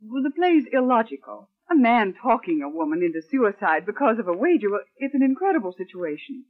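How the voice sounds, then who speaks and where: An actress playing a young secretary, proper, wary and bitter, in crisp 1940s radio drama narration style. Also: female voice, fairly high pitch, very animated, a little fast. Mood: hesitant. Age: young adult. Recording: muffled vintage radio recording, organ music.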